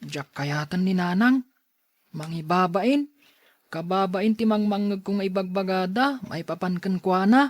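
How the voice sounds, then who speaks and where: A woman's voice: mid-range voice, measured pace, close to an everyday voice. A woman playing a keen, blunt younger schoolboy brother in a radio drama. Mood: resentful. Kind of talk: Ilocano radio drama acting.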